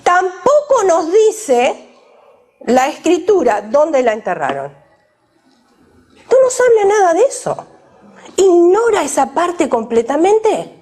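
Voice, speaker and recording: A woman's voice: very high-pitched, very animated, slow, pauses often, darkish tone. A woman from Argentina, preaching a sermon to a women's gathering and questioning the audience directly.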